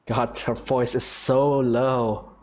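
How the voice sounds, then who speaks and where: A woman's voice: very deep voice, flat delivery, slow pace, a little mellow, a little hiss or hum. A woman hosting a gaming video, casually reading dialogue aloud and commenting, with game music behind her.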